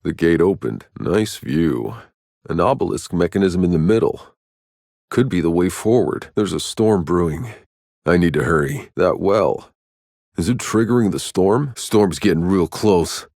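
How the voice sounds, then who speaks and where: A man voicing a gruff, sardonic, battle-hardened soldier hero in a video game, with a terse, dry delivery. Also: low-pitched, expressive, measured pace, a little mellow, no room sound, exaggerated voice. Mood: calm, observant. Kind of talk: video game character voice lines.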